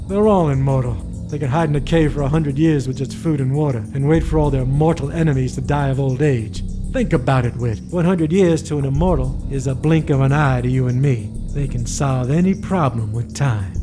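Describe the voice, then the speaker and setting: A commanding covert-operations chief played by a male actor lays things out with calculating authority. His voice is medium in pitch and emphatic, over clear audio drama music. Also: a little fast, natural voice.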